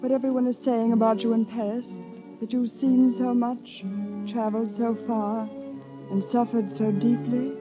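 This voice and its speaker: An actress in a 1930s radio drama playing a refined countess who asks earnest, formal questions with hidden anguish. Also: female voice, fairly high pitch, level tone, normal speed, natural voice. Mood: searching. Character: refined countess, anguished, tender, hiding a lost love. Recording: thin phone-like old broadcast, music behind.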